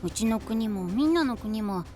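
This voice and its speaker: A boyish young male knight in an anime-style audio drama. The voice is fairly high and very animated, casual and candid. Clear music runs behind it. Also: moderate pace. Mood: casual, teasing. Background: prominent background music.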